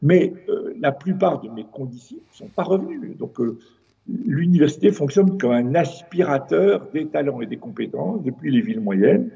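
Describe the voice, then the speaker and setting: A man's voice: fairly high pitch, sing-song, gravelly, warm tone, breathy edge, highs cut off. A man from France, a city councillor, earnestly explaining a report as a discussion guest. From France, Avignon. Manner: earnest, measured, with frequent restarts.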